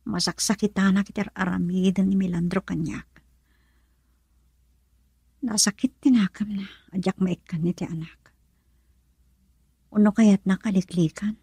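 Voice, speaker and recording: Casting: aching childless wife, Ilocano radio melodrama, Filipino accent, crackly voice, very dry recording. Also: female voice, mid-range voice, steady tone, measured pace, long pauses, dark tone, husky, no room sound. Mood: brooding, hurt. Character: sorrowful childless wife longing for a baby.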